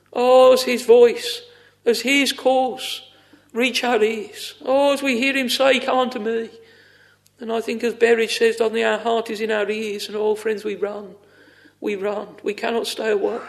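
A man delivers a fervent evangelical sermon in a strikingly high-pitched voice with a creaky, gravelly edge. He speaks in short exclamatory phrases, often opened by a sighing interjection, and repeats them for emphasis. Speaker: preacher.

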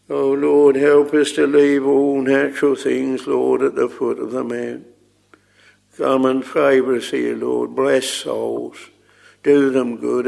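A man leading an extempore public prayer, solemn and halting, in archaic thee-and-thou language. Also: medium pitch, gravelly, a little mellow.

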